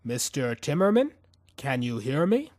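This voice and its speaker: A man's voice: mid-range voice, big pitch swings, measured pace, darkish tone, dry recording, clean studio sound. A man voicing a cold, vengeful assassin with simmering rage, menacing and taunting in an audiobook thriller. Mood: probing.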